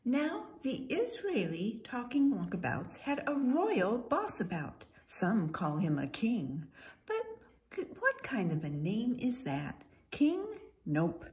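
High, slow, animated woman storyteller. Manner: theatrical, sing-song emphasis for young children. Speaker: storyteller reading a children's Bible story. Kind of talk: expressive read-aloud storybook narration.

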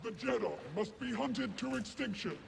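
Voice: medium pitch, moderate pace, creaky, a little hiss or hum. An actor voicing a rigid, obedient battle droid in a video game, clipped and emotionless, through a robot filter. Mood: stern, robotic. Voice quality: electronic, grating.

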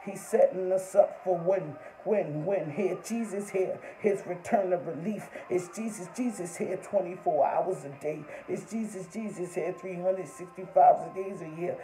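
A man leading a fervent spoken prayer, repeating short refrains in a steady rhythm. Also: high voice, reverberant, slight background noise, low-quality recording.

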